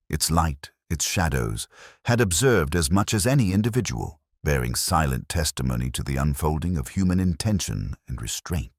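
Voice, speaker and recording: Very deep-voiced man narrating detective fiction. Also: no echo, clean studio sound. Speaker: audiobook narrator. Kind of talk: scripted first-person detective story narration.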